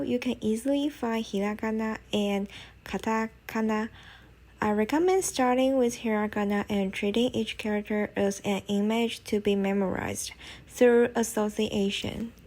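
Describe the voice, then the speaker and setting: A woman's voice: fairly high pitch, a little mellow. Woman with a Chinese first language, careful tutorial voice. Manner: careful, slightly non-native English phrasing. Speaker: language-learning vlogger. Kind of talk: scripted voice-over tutorial.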